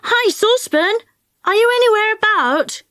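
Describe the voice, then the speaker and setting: A woman's voice: high voice, very animated, moderate pace, fairly bright tone, no room sound, clean studio sound, pitched above the performer's own voice. A woman voicing bold, blunt young boys in a children's storybook. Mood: eager.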